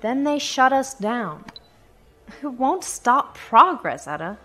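Woman as a slow-speaking weary young academic. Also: sits high, sing-song, bright, forceful tone, exaggerated voice. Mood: resolute. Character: dedicated, weary young female university teaching assistant. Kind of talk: audio drama acting.

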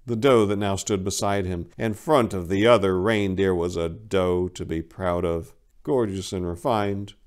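A man's voice: low voice, steady tone, measured pace. A man in his forties giving a theatrical, mock-earnest dramatic reading of a comic romance story, recorded indoors on a lapel mic. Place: indoors, book-lined room.

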